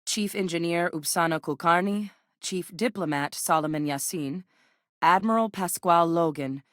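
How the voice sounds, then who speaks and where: Actress as a low, resolute military woman. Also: moderate pace, dry recording, clean studio sound, lightly acted. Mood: measured, serious. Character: guarded, resolute military woman, dry and determined. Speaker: video game voice actress. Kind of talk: naturalistic video game dialogue.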